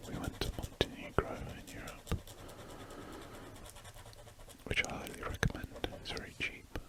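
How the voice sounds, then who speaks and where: A speaker, chatting in a soft, rambling ASMR whisper while drawing. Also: a little slow. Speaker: ASMR drawing channel host.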